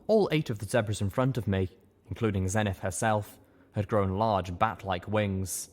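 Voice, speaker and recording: Low-voiced man narrating a story. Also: moderate pace. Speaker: audiobook reader. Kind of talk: first-person fiction narration.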